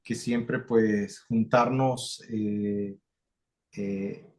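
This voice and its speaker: A man's voice: lowish voice, fairly even intonation, extremely slow, a little mellow. A Salvadoran man, a community facilitator, talking in a video call interview, with many fillers and restarts.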